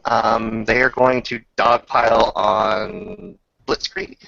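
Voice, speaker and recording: Gravelly man running a tabletop game. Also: on the low side, fairly even intonation, slow, bright, forceful tone, a little hiss or hum. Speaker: game master. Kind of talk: running a casual tabletop roleplaying session. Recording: dull lo-fi recording.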